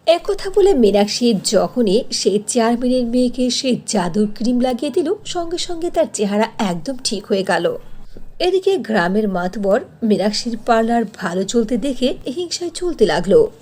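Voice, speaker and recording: A woman narrates a cartoon tale as storyteller. Her voice is fairly high and very animated in pitch, in a scripted storytelling style. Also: moderate pace.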